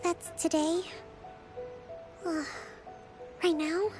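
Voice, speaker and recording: Young shrine priestess boss, really high soft voice, measured n polite, game music under it. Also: female voice, level tone, full character voice. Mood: reluctant. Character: gentle, composed young female shrine leader. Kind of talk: anime game character acting.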